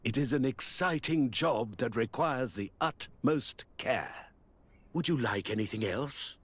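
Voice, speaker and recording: An actor playing an officious, wily minister of trade in a video game, with a pompous, obsequious and deliberate manner. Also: male voice, medium pitch, slightly rough, no room sound. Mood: obsequious, proud. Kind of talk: video game cutscene acting.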